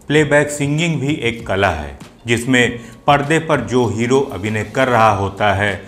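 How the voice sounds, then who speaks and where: A man hosting and explaining things to viewers in video commentary. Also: medium pitch. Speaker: host of a film music show. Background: music playing behind the voice.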